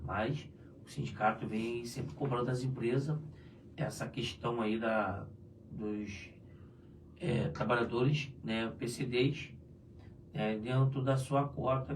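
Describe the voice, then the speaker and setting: A hoarse man chatting loosely on a live union show, tagging his sentences with "né?". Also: medium pitch. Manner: loose and chatty, many "né?" tags. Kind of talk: casual live chat answering viewers. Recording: distant mic with faint room noise.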